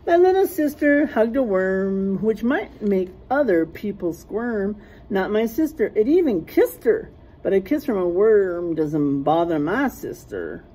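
Woman, middle-aged, teacher reading rhymes to kids; deliberate and unhurried, slightly rough-edged husky voice. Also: mid-range voice, sing-song, dark tone, poor audio. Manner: sing-song, deliberate, stressing rhyming words and letters. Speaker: teacher reading aloud to young children. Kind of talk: read-aloud of a rhyming picture book with teaching asides. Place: small classroom, indoors.

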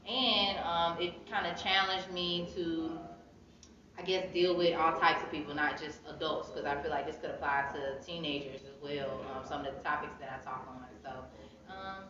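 Low-voiced American woman in an echoey room. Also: fairly even intonation, normal speed. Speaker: interviewed poet and author. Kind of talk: casual interview conversation. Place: indoor art gallery, seated against wall. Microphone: phone camera several feet away. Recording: echoey room, distant mic, dull sound, crowd noise.

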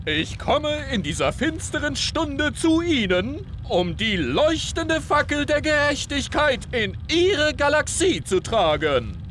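An actor playing a vain, pompous washed-up superhero in a game, with theatrical announcer delivery. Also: male voice, very high voice, very animated, slow pace, few pauses, fairly bright tone, a little hiss or hum, full character voice. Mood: grandiose. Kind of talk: animated game cutscene acting.

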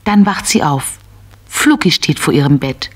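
A woman narrating a scripted children's story in short, measured phrases. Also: deep voice, big pitch swings.

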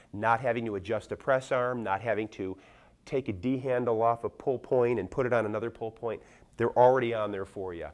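American guy, middle aged, kinda low voice, walking thru a gym machine demo, explains stuff plainly with a sales pitch feel. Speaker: fitness equipment demonstrator. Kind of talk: instructional product demonstration.

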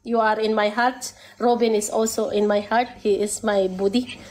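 A woman's voice: mid-range voice, fairly even intonation, moderate pace, a little mellow. A woman in her thirties with a Filipino accent, teasing and laughing in a casual live video call from a room at home. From Philippines. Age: 30s. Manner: playful, teasing, laughs often. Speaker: live-stream guest. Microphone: phone camera, close.

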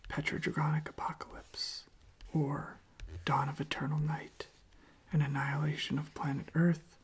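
A young adult ASMR host speaking in a soft, gentle whisper, halting and repeating words, close to the microphone. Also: medium pitch, gravelly.

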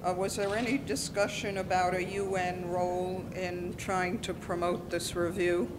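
A woman's voice: level tone, normal speed. A woman working as a journalist puts a question at a press briefing. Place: large indoor press area. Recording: noisy press room with rumble.

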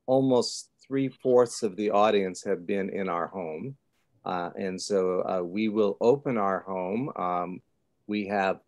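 A man's voice: fairly low pitch, a little mellow. An older man informally hosting a virtual book launch, speaking on a video call from a home office. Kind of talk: informal event moderating.

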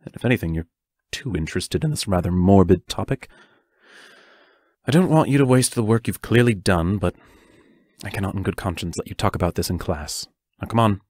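A serious male teacher played by an actor in an audio play. His voice is on the low side and recorded dry and close. He is cautious and protective, choosing his words formally. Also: lively intonation, a little mellow, no echo. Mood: firm, concerned. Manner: measured, formal, careful phrasing. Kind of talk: audio drama acting.

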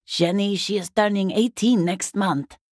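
Actress as a husky, weary working class woman. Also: mid-range voice, measured pace, a little mellow, no echo, studio quality, close to an everyday voice. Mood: exasperated. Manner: defensive, exasperated, plain-spoken. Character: weary, guarded working class wife and mother. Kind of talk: video game character dialogue.

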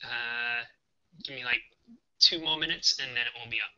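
A man's voice: mid-range voice, moderate pace, sharp tone, dull audio. A young man, a game developer, co-hosting a casual live stream, talking hesitantly with many ums, on a webcam mic.

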